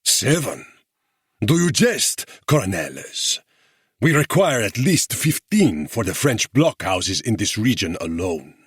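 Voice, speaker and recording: A man's voice: fairly low pitch, very animated, measured pace, husky, no room sound, studio quality, pitched above the performer's own voice, exaggerated voice. Man putting on a growling guerrilla chief. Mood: contemptuous. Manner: growling, thundering, with Spanish words. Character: savage middle-aged male guerrilla leader, menacing.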